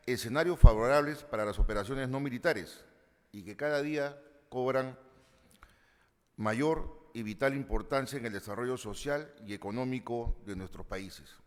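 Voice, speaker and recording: A man introducing a conference session in a formal, measured, ceremonious way, with the odd filler. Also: mid-range voice. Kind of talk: formal prepared address. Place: large conference hall. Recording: long hall reverb.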